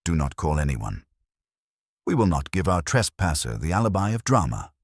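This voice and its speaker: Guy doing a bossy older detective in an audiobook, real formal, super deep, varied pitch. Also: very animated, moderate pace, husky, dry recording, clean studio sound, exaggerated voice. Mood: stern, deliberate. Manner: formal, pronouncing. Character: pompous, commanding older male detective of formal speech.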